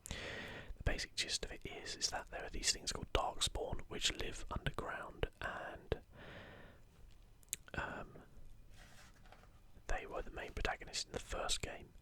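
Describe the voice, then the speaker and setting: A man's voice: unhurried, bright, forceful tone. Whispering man, ASMR host. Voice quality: breathy, unvoiced whisper. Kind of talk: whispered ASMR commentary on an art book.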